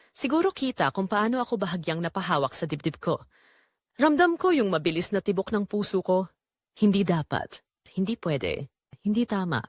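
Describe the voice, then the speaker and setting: Woman, dramatic story narrator, confessional, lively intonation, deliberate phrasing, dry sound. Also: medium pitch, no room sound. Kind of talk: emotional first-person scripted story narration.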